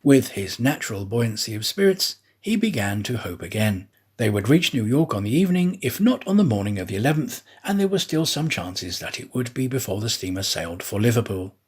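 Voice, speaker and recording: A man reading a classic adventure story aloud as an audiobook narrator, with a deliberate, dramatic storytelling delivery. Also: medium pitch, big pitch swings, slightly rough. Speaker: audiobook reader, actor.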